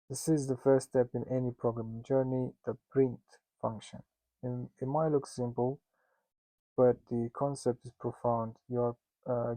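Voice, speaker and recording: A man's voice: medium pitch, mellow, dry recording, poor audio. A man with a Russian accent giving a casual spoken lesson to founders. He jokes and mocks himself in loose asides, and he often stops and restarts his sentences. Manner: joking and self-mocking, with loose asides; halting, with many restarts and fillers. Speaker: instructor teaching founders.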